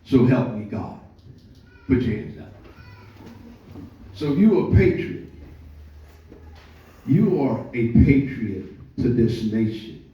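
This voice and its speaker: An older man with an American accent giving an oratorical public speech, with deliberate pauses and emphasis. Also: medium pitch, lively intonation. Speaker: speaker addressing a live audience. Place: large echoey room, speaking before an audience. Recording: echoey hall, distant muffled mic.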